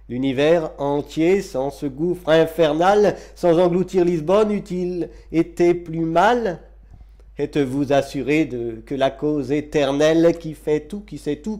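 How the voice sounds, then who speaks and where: A man giving a dramatic recitation of classical verse, with solemn, declamatory and deliberate phrasing. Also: mid-range voice, warm tone. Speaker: reader of poetry.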